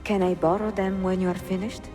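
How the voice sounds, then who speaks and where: An actress playing a curious, poised Italian bookseller in a video game cutscene, with an Italian accent and a teasing, refined manner. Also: female voice, medium pitch, level tone, a little fast, over music, lightly acted. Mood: intrigued, teasing.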